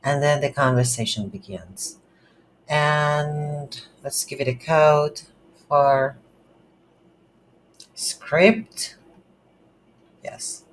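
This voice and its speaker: Slow, hesitant tutorial instructor. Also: mid-range voice, low-quality recording. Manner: hesitant, thinking aloud in short phrases. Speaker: game development tutorial instructor. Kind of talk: unscripted screen-recorded tutorial narration. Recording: faint background noise.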